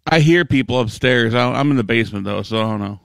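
A man's voice: mid-range voice, fast, gravelly, no echo. An American man co-hosting a comedy show, tossing out casual, playful quips with a mock-serious manner. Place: basement at home.

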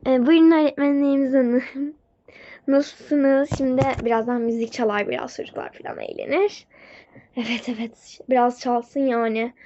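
A woman's voice: high-pitched, steady tone, normal speed, voice pushed down, lightly acted. Woman as a chatty, polite kindergarten parent. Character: chatty, appreciative parent greeting the kindergarten teachers. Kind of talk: casual hobby doll-game roleplay. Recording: muffled audio under loud music. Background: loud music.

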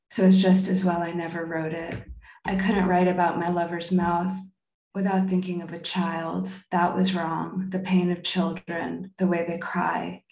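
A woman's voice: on the low side, a little mellow. A woman in her thirties, a poet speaking at a reading over a video call from a room at home. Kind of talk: poetry reading with informal remarks. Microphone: computer mic on a video call. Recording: roomy, echoey video-call audio.

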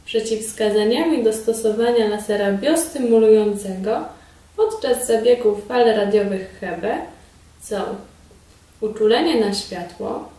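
Woman doing a formal training video voiceover, highish voice, echoey room, bit far from mic. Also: lively intonation, mellow. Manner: formal, measured. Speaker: instructor presenting a beauty device. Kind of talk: scripted instructional narration. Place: small indoor beauty treatment room. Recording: roomy echo, distant mic.

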